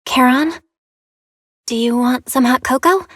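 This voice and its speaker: An actress playing a kind, solicitous female friend in a video game, speaking softly and with care. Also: sits high, unhurried, a little hiss or hum. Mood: concerned. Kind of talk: dramatic video game voice acting.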